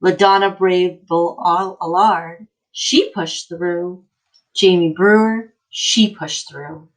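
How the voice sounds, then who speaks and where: A woman's voice: lowish voice, steady tone, takes it slowly, low-quality recording. A woman in the role of a teacher reading a picture book aloud to children, speaking directly to them with emphatic encouragement.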